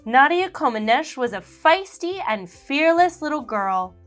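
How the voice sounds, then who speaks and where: A woman reads a picture book to young listeners, drawing out each phrase at an unhurried pace. Her pitch runs a little high and swings widely as she tells the story. Soft music plays faintly behind her. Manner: animated, slow and clear storytelling. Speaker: children's book reader. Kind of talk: expressive read-aloud for children.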